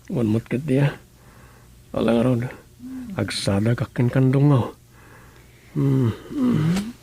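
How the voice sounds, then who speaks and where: An actor playing an ailing, groaning husband in an Ilocano radio drama-comedy, with an Ilocano accent. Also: male voice, mid-range voice, animated, slow, creaky, warm tone, slight background noise. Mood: feeble, affectionate.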